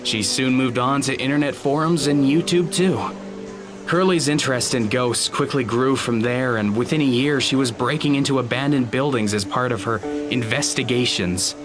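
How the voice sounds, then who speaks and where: An actor playing an awkward, polite teenage high school boy in an audio drama, speaking hesitantly with small stammers. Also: moderate pace, music in the background, close to an everyday voice. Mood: explanatory, apologetic. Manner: hesitant, stammering, nervous chuckles.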